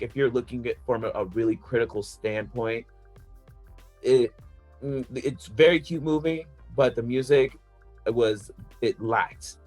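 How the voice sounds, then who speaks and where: A man chatting casually on a podcast panel, rambling through his opinions with lots of "like" fillers and the odd laugh. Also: medium pitch. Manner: rambling, heavy use of "like" fillers; laughs between points.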